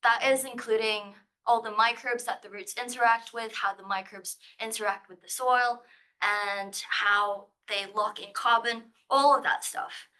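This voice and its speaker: A woman's voice: on the high side, steady tone, measured pace, bright, forceful tone. A young woman from Thailand giving a live science-writing presentation, nervous and giggly, with a playful manner. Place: lecture room.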